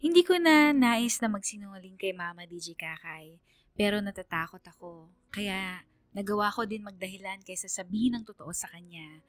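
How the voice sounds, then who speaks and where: A woman's voice: mid-range voice, animated, normal speed, no echo, natural voice. Close, dry, confiding Filipino narrator voiced by a woman. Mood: anxious, reflective. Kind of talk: first-person radio drama narration.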